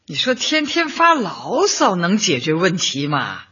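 An actress playing a warm, encouraging older woman community leader who guides with gentle questions in a Chinese radio drama. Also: on the low side, wildly swooping pitch, unhurried, slightly rough, dull audio, full character voice. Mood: earnest. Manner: persuasive, rhetorical questions, gently guiding.

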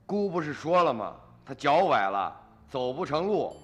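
An actor playing a brash, dismissive middle-aged farmer's son in a radio drama, blustering and scoffing in colloquial speech. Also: male voice, on the high side, very animated, moderate pace, a bit bright. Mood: scornful.

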